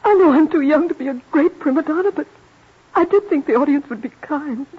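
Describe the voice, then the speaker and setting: A woman's voice: high voice, brisk, lightly acted. A woman playing an earnest, proud young American prima donna with theatrical emotion in an old radio drama, in an American accent. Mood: wounded. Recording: muffled old radio recording.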